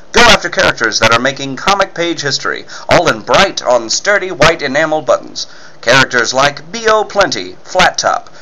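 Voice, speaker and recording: A man's voice: a little high, sharp tone, rough recording. A man reading scripted narration and ad copy as an emphatic, punchy 1940s-style radio announcer. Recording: faint background noise.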